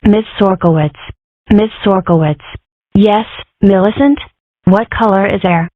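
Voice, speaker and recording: A woman voicing a mischievous young fox girl with cheeky, deadpan jokes in an amateur comic dub. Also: mid-range voice, dry recording, close to an everyday voice. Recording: dry, slightly lo-fi home recording.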